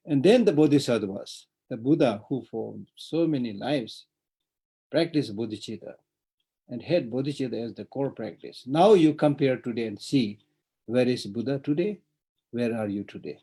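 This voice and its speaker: A man's voice: mid-range voice, normal speed, husky. A middle-aged man with a Tibetan accent giving a Buddhist dharma teaching, stressing points by repeating them and laughing between them. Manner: emphatic, repetitive, laughs warmly between points. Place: remote virtual teaching.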